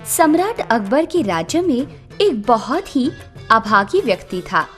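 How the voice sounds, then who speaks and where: A woman's voice: fairly high pitch, big pitch swings, measured pace, fairly bright tone. A woman narrating a scripted story for children. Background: background music.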